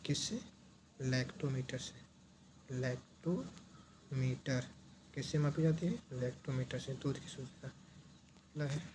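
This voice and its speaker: A man with a medium-pitched voice teaches as an exam coach. He poses short questions and then repeats the answers in a didactic way. There is clear noise behind his voice.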